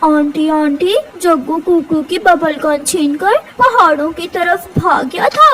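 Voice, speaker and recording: A woman's voice: very high-pitched, slow pace, fairly bright tone, distant mic, heavily acted, rough recording. A woman voicing an eager little pigeon boy in a cartoon, urgently tattling.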